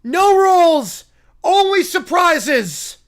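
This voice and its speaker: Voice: very high-pitched, big pitch swings, extremely slow, pitched above the performer's own voice, exaggerated voice. Shrieky, bossy cartoon brat. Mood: bossy, loud. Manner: shouted, melodramatic declarations. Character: bratty, bossy, indignant cartoon child, zealous and dramatic.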